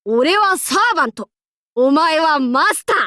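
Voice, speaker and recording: A very high, bright-edged voice plays a boisterous female demon king warlord for an anime game. She speaks slowly, in haughty old-fashioned lordly phrasing. Her delivery is bombastic and theatrical, made for cackles and battle cries. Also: expressive, no room sound, studio quality, exaggerated voice. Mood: cocky, brash. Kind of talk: Japanese anime mobile game voice acting.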